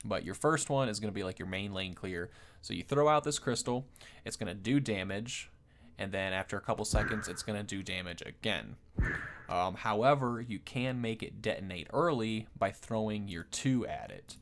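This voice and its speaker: Low-voiced man giving casual game commentary. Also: normal speed, gravelly, noisy background. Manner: rambling, conversational explaining. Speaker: gaming video host.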